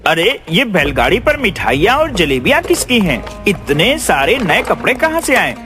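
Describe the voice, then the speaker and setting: A man's voice: high-pitched, very animated, moderate pace. A man playing an honest, kind young village farmer in a cartoon, speaking earnestly and politely. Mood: concerned. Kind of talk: animated Hindi moral-story cartoon acting. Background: faint music.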